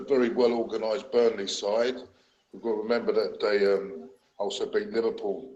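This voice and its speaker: A man's voice: lowish voice, a little mellow, echoey room, dull audio. A man chatting casually on a fan podcast, hesitant with frequent uhs. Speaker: podcast panelist. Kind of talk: casual chat.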